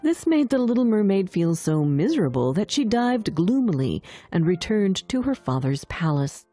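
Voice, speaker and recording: A woman's voice: medium pitch, very animated, normal speed, dark tone, soft background music. A woman narrator reading a scripted children's fairy tale in a storytelling style.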